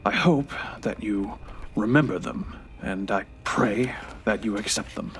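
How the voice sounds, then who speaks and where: A man's voice: on the low side, measured pace, slight background noise, lightly acted. Man playing a weary, defiant dying old man. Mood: solemn, weary. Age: 90s as played. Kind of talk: audio drama acting. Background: music.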